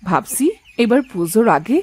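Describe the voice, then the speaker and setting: A woman voicing a hospitable, gently bossy older mother-in-law in a cartoon family drama. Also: medium pitch, lively intonation, normal speed, slightly rough, no room sound. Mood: homely. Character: hospitable, kindly older Bengali mother-in-law, head of household.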